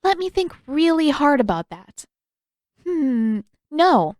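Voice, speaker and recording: A woman voicing a guarded, sharp-tongued teenage sister in an audiobook story, with a dry, teasing, pointed manner. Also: high voice, very animated, slow, no room sound, voice pushed up, full character voice. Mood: smug, teasing.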